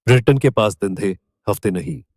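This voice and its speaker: A man with an Indian accent reading scripted documentary narration in measured, emphatic short phrases. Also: lowish voice, lively intonation, a little mellow, no room sound, clean studio sound.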